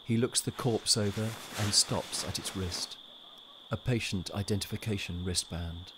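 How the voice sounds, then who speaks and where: Low-pitched male audio drama narrator. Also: moderate pace, background noise.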